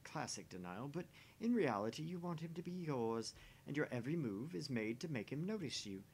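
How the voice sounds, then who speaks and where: A man's voice: very animated, a little fast, husky. A man voicing a smug, jaded husband in a visual novel, mocking and condescending as he teases. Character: smug, sneering, jaded married man teasing his dinner date. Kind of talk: voice-acting visual novel dialogue. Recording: faint background rumble and noise.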